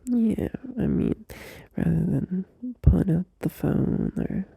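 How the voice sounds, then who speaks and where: Guy playing a sleepy sweet bf whispering right by the mic, dark husky tone, real slow. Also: high-pitched, expressive, no echo, heavily acted. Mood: tender, sleepy. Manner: soft drowsy whisper, unhurried, with small laughs. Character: gentle, loving young boyfriend soothing a partner at night. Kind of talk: ASMR audio roleplay. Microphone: close mic.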